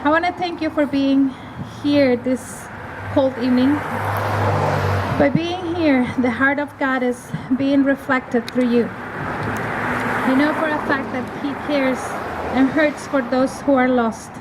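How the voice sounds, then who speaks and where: A middle-aged woman from El Salvador giving an earnest, measured testimony at an outdoor evening rally, pausing between phrases. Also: high voice. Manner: earnest, measured delivery with pauses. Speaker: rally speaker. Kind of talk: prepared testimony speech. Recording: outdoor recording with clear ambient noise.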